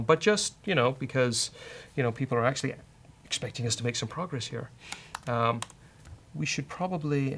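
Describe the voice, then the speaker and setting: A man's voice: medium pitch, creaky, a little hiss or hum. A man co-hosting a coding show, talking casually and hesitantly as he thinks aloud while working. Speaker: co-host coding on a software show.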